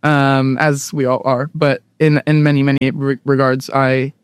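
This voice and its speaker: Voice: mid-range voice, level tone, moderate pace, clean tone, dry recording. A guest in their late twenties from Southern California, who speaks with an American accent and chats casually, laughing easily and often saying "like" and "um". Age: late 20s. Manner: chatty, laughs easily, fillers like "like" and "um". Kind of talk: casual interview chat.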